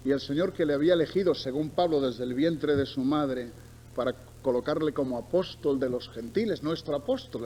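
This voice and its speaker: A man's voice: mid-range voice, a little mellow, low-quality recording. A middle-aged man from Barcelona with a Spain accent, preaching a sermon with emphatic repetition and conversational asides to his listeners. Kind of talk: sermon with Bible reading and storytelling. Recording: noticeable background noise.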